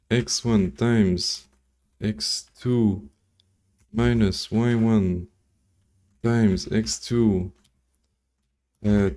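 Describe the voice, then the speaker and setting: A man's voice: fairly low pitch, warm tone. A man from Belgium whose first language is French, thinking aloud and reading formulas during a casual live coding stream, with dry jokes. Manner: thinks aloud, reads formulas slowly, joking asides. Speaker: coding livestreamer.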